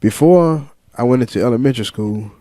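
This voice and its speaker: A man talking freely to his viewers in a reflective monologue, slow and deliberate, listing ideas one word at a time with some fillers and repeats. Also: mid-range voice, warm tone, no room sound, clean studio sound. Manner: lists ideas in measured single words. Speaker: vlogger.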